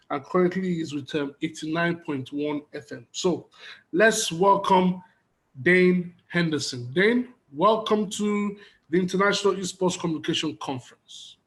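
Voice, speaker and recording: Higher-pitched Nigerian man hosting a panel. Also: low-quality recording. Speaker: conference moderator. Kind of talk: hosting a panel, introducing guests. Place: online video conference.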